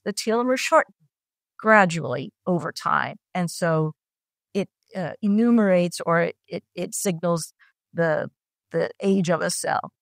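Middle-aged woman scientist, halting delivery, lower voice, dry recording. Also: a bit bright, no room sound. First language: Italian. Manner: hesitant, many fillers and restarts. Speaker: scientist guest. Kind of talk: explanatory interview answers.

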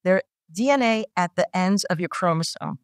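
Middle-aged woman scientist, halting delivery, lower voice, dry recording. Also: no room sound. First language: Italian. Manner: hesitant, many fillers and restarts. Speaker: scientist guest. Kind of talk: explanatory interview answers.

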